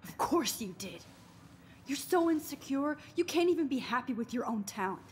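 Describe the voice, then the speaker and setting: Earnest, defiant young student. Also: female voice, high-pitched, expressive, normal speed, a little hiss or hum. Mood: angry, confrontational. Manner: sincere, plain-spoken. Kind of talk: narrative video game acting.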